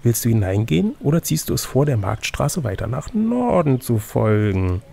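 Male game master, gravelly and slightly rough, casual storytelling with teasing asides. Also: mid-range voice, sing-song, moderate pace, warm tone. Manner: teasing, playful. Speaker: game master and reader of an adventure gamebook. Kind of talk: reading a gamebook aloud with casual banter.

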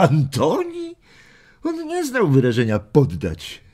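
An old grandfather spins family tales for an audio drama for children. His husky voice takes its time, amused and full of expression, rising and falling widely. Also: a little high, heavily acted. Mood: fond, reflective. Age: older. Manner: unhurried, chuckling, expressive. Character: warm, amused old grandfather telling family war stories. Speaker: storyteller.

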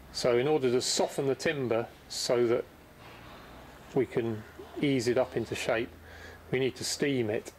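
Middle-aged male instructor, easygoing and practical, recorded in a workshop with a faint background hum. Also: mid-range voice. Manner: easygoing, practical explaining with restarts. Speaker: boatbuilding instructor. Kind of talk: hands-on workshop demonstration. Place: boatbuilding workshop indoors.

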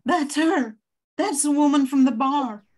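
A woman's voice: sits high, a little slow, a little mellow. An older woman playing an anxious, desperate widow in an amateur radio drama.

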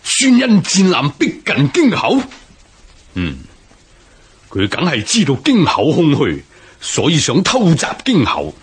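Bold military commander, very husky, wildly swooping pitch, confident, declamatory, radio drama. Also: male voice, full character voice. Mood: alert, thoughtful. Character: bold, confident male army officer giving orders. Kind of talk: Cantonese historical radio drama acting.

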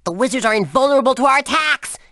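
A woman's voice: high voice, fast, no echo, studio quality. A woman playing an eager, chatty, slightly boastful helper creature in a video game. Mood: urgent. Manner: lively, chatty. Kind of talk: scripted video game character dialogue.

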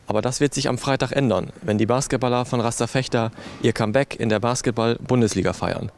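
Middle-aged male sports reporter, mid-pitched. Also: fast, slight background noise. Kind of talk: scripted sports news report.

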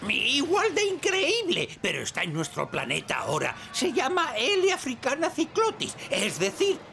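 An actor playing a fussy, imperious old gnome wizard in a cartoon, with an elderly, testy, lecturing delivery. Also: male voice, very high-pitched, huge pitch swings, normal speed, bright, forceful tone, faint music behind, heavily acted. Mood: pompous, urgent. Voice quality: creaky elderly voice. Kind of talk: animated cartoon dub.

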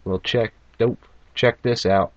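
A man's voice: medium pitch, steady tone, slow, lo-fi sound. A man giving casual, sweary gameplay commentary, chatting to viewers as folks in an informal way. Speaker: gaming video host. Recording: amateur camera filming a TV screen. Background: video game music.

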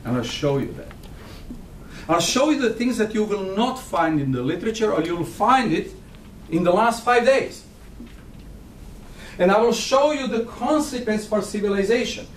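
A man's voice: high voice, lively intonation, moderate pace, pauses often, recorded from a distance, slight background noise. Emphatic Croatian man lecturing in an echoey hall. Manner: emphatic, provocative, pacing and gesturing. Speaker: keynote speaker. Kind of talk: conference keynote lecture. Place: large conference hall.

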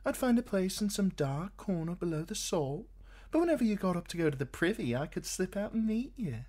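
A man's voice: high voice, sing-song, brisk, a little mellow, voice pushed up. A man putting on a wheedling young woman. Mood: pleading.

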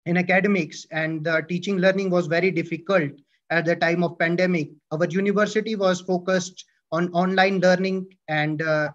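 A man's voice: high voice, fairly even intonation, normal speed. A man with an Indian English accent presents a university department from slides, with fillers and self-corrections. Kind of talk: presenting slides in an online orientation. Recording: dull lo-fi audio.

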